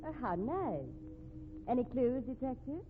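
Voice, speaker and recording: A sardonic, haughty widow speaks with a highish, swooping voice and dry mockery. It is 1950s radio mystery acting on a noisy, narrow-band old recording. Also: female voice, sing-song, measured pace. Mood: cool, mocking. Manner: arch, haughty, drily mocking. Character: cool, sardonic, unflappable widow, a murder suspect.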